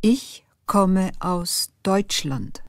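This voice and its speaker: A woman speaking slowly and distinctly in a beginner language lesson, repeating each sentence. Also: medium pitch, lively intonation, no echo, clean studio sound. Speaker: language teacher. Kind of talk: slow, clearly spoken language lesson.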